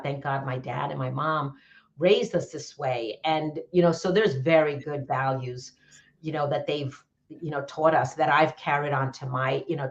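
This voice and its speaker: A low-voiced woman with a New York accent speaks as a podcast guest. Her delivery is candid and casual, doubling back on phrases for emphasis. The audio is dull and slightly muffled. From New York area, United States. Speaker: podcast guest, business coach. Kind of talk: casual personal storytelling.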